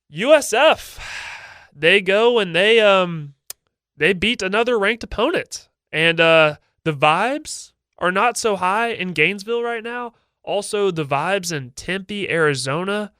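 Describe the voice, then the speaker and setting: A young man with a stuffy, slightly nasal voice from a head cold hosts his own sports radio show. He sounds confident and opinionated, with a fairly high, bright, smooth tone. The studio sound is dry and close. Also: dry recording. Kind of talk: solo sports talk show.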